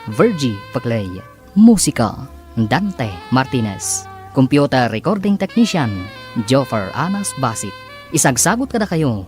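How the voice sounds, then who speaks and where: A man's voice: mid-range voice, a little mellow. A man with a Filipino accent announcing and narrating a radio drama in a dramatic scripted style. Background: music behind the voice.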